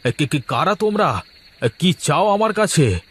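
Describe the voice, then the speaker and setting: Male actor, cartoon village boss, self-important, flusters easily, repeats words, wide pitch swings, slowish. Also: very animated, fairly bright tone, breathy edge, full character voice. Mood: alarmed. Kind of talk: cartoon voice acting.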